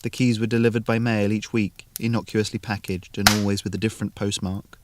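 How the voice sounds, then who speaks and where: A man's voice: lowish voice, flat delivery, brisk, a little hiss or hum. A man narrating a scripted short story as the storyteller.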